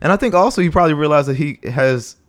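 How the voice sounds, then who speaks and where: A man's voice: a little high, fast talker. A man co-hosting a casual chat podcast, chatty and laughing often, trailing off and restarting his sentences. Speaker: podcast co-host.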